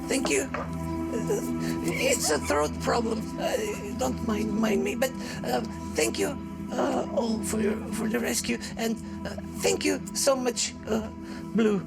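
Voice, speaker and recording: A man voicing a timid male researcher with a squeaky voice, who stammers through his thanks. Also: creaky, loud music behind the voice, heavily acted. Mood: nervous, grateful. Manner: halting, full of ums and uhs.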